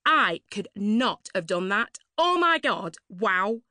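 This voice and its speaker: A woman reading a story, voicing a tactless, nosy young woman who squawks and gushes in drawn-out exclamations. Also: mid-range voice, sing-song, sharp tone, no room sound, studio quality, voice pushed up, full character voice. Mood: gushing.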